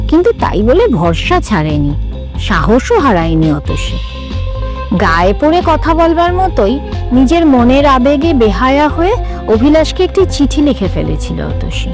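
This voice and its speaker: A woman narrates a literary story with dramatic expression. Her voice is high and moves widely in pitch, and music plays clearly behind her.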